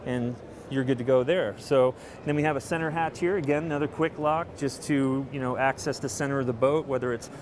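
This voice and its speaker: Man presenting gear amid loud show-floor noise. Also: mid-range voice, steady tone, fairly quick. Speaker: kayak company representative presenting a product. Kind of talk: casual product walkthrough interview. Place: large indoor convention hall booth. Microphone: handheld interview microphone held by interviewer. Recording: noisy busy trade show floor.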